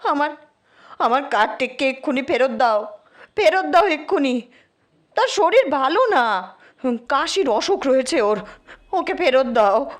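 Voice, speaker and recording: A woman playing a frantic mother of a missing boy in an audio drama, shrill and overwrought. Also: animated, measured pace. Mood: desperate pleading. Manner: stammering, frantic, pleading. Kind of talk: Bengali audio drama acting. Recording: music behind the voice.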